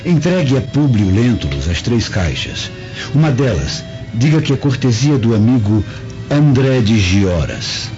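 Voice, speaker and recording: A man's voice: medium pitch, sing-song, measured pace. A man playing an outwardly obliging but secretly scheming merchant in a radio drama, speaking with sly politeness. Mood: ingratiating. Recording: muffled radio drama with music.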